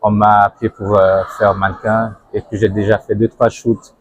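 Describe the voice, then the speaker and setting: A man's voice: lowish voice, flat delivery, moderate pace, highs cut off. A young man giving casual interview answers, piecing them together with hesitations. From Zurich, Switzerland. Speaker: interviewee, banker and model.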